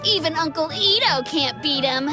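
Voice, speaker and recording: A woman voicing a confident, excitable young boy in an anime game, with a childlike voice. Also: high-pitched, normal speed. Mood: gleeful, boastful. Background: music.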